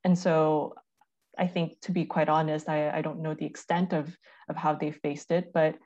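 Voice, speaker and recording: A woman from Canada, a research analyst, speaking reflectively and softly in a panel discussion. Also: low-pitched, level tone, normal speed, muffled recording. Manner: reflective, soft-spoken, repeats words.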